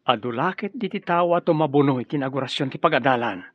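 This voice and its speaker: A man's voice: medium pitch, fast talker, no echo, close to an everyday voice. A man playing an earnest young village teacher and husband in a radio drama, with an Ilocano accent. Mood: cheerful. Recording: dry but dull lo-fi audio.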